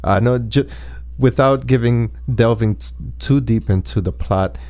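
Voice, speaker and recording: A man hosting a podcast interview, speaking in formal wording with a halting delivery. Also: medium pitch, mellow, dry recording.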